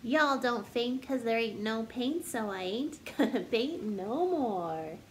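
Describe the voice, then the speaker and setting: Slow-paced, higher-voiced woman reading to children. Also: a little hiss or hum. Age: 30s. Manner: slow, deliberate, animated teacher delivery addressing children. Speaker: first grade teacher hosting a children's story time. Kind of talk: children's read-aloud, expressive and sing-song. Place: living room at home, indoors.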